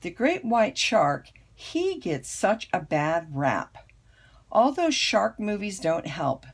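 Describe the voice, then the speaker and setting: An older woman, a grandmother, reading a children's picture book aloud with expressive, storytelling emphasis. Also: medium pitch, breathy edge. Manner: unhurried, dramatic storytelling emphasis.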